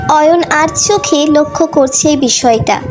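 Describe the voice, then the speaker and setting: A woman's voice: high voice, unhurried. A woman storyteller reading a scripted romantic story as narration. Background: background music.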